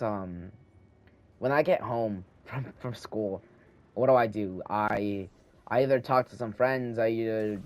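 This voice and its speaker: A teenage boy with a slight lisp, rambling and thinking aloud while he plays a video game at home, talking into a handheld microphone. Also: medium pitch. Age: teens. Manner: meandering, thinking aloud, frequent restarts. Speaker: gaming channel host. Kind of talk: rambling casual talk over gameplay.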